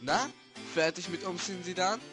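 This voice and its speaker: A man's voice: high-pitched, normal speed, lightly acted. A man playing a loyal young thief and stage actor in an amateur audio drama. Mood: casual, playful. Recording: loud music under the voice.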